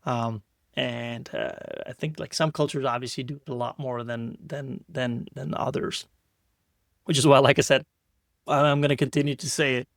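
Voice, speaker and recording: A man's voice: mid-range voice, steady tone, normal speed, vocal fry, a bit bright, dry recording, studio quality. A man hosting an interview podcast, talking in a loose, rambling way with repeated words and restarts. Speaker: podcast host. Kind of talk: casual interview chat.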